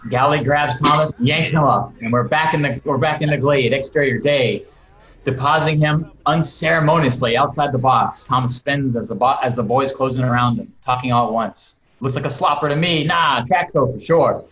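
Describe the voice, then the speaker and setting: A man's voice: medium pitch, normal speed, fairly bright tone. A man reading scripted stage directions aloud with dramatic, emphatic storytelling. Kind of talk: scripted narration read aloud. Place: indoors, on a video call. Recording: roomy video call sound.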